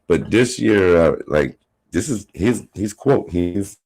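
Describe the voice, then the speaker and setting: A man's voice: low voice, expressive, slow, darkish tone. A man hosting a sports podcast, rambling through casual commentary with lots of fillers and restarts.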